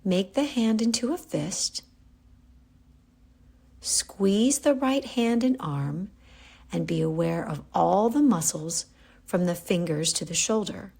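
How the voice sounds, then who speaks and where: Slow, creaky-voiced woman guiding relaxation. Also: mid-range voice. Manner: calm, gentle, unhurried instruction with pauses. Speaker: yoga nidra guide. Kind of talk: guided relaxation meditation.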